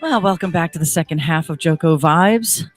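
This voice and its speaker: A woman's voice: low-pitched, moderate pace, faint music behind. A woman hosting a community radio show in a chatty, upbeat way, her nose stuffy. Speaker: radio host.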